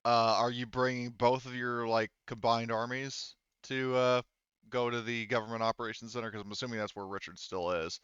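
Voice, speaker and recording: A man's voice: medium pitch, fairly even intonation, fairly quick, a bit bright, smooth, no room sound, muffled recording. A man running a tabletop roleplaying game as game master, talking casually and thinking aloud as he goes.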